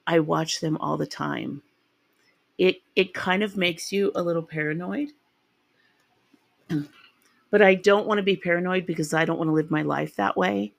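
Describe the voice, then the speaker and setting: A woman hosting a true-crime podcast, her voice raspy from a sinus infection, chatty and rambling with ums and restarts. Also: fairly low pitch, moderate pace, pauses often, dry recording. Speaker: podcast host.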